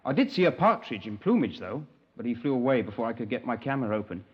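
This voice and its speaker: An actor playing a naive young English scoutmaster in a 1950s radio crime drama, polite, hesitant and wordy, easily affronted. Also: male voice, mid-range voice, big pitch swings, quick pace, breathy edge. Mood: earnest, rambling. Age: young adult. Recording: dull, band-limited 1950s radio recording.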